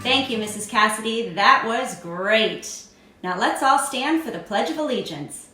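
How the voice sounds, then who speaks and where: A woman gives upbeat school announcements as a morning show host. Her voice is bright and slightly high. It rings in a very echoey space with faint music. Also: animated, a little slow.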